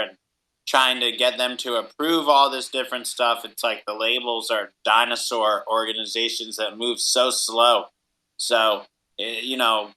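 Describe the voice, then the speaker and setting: A man's voice: mid-range voice, bright, forceful tone, low-quality recording. A man hosting a casual interview, talking in a conversational, affirming way. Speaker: radio show host and interviewer.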